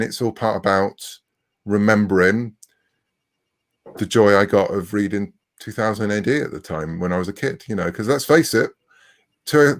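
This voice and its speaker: A man in his forties speaking conversationally as a writer being interviewed. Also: lowish voice. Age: 40s. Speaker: interviewed writer of comics and novels.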